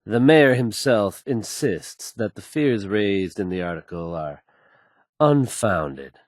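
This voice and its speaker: An actor playing a confident, smooth male radio DJ in a video game, with fluent, easygoing announcer patter. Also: on the low side, animated, slow, dry recording, studio quality. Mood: steady, cautioning. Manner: self-assured, fluent, easygoing announcer delivery.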